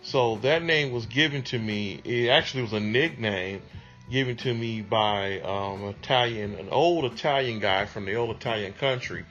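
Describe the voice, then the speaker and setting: Unhurried man. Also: lowish voice, fairly bright tone, dull audio, faint music behind. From Detroit, USA. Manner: unhurried, conversational, with many ums. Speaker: music producer interviewee. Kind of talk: reflective interview answers.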